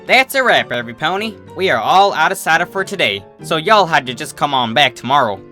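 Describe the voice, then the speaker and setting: A man's voice: on the high side, very animated, fairly quick, over music, voice pushed up. A man voicing a young female farm pony, friendly and teasing, with a folksy Southern US drawl, in a story reading. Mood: announcing loudly.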